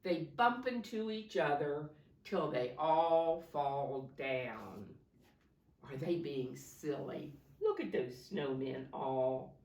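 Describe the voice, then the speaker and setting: A woman reading a picture story aloud to young children in a sing-song way, pausing to point things out and ask simple questions. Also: low voice, expressive, a little mellow. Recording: roomy echo, distant microphone.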